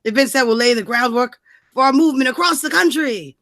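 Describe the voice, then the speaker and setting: A woman's voice: sits high, brisk, fairly bright tone, dry recording, clean studio sound. A woman co-hosting a rant show in casual banter, blunt and mocking, swearing freely. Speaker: co-host of a political rant show.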